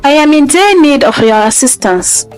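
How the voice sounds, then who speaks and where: A woman's voice: high-pitched, expressive, moderate pace, faint music behind, pitched above the performer's own voice. A woman voicing a rejected young village woman in a folktale, speaking in an emotional, imploring way. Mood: pleading. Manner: emotional, pleading.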